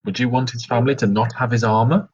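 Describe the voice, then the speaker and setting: A man playing a sheepish, deadpan adventurer in a tabletop game, making understated excuses for a friend. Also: medium pitch, quick pace, husky, highs cut off, pitched above the performer's own voice, natural voice.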